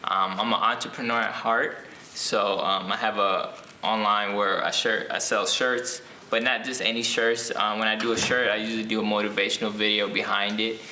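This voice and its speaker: A young man from Ethiopia, an author answering interview questions casually, pausing often with ums and restarts. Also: fairly low pitch, steady tone, sharp tone, roomy sound, slight background noise. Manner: hesitant, many ums and restarts.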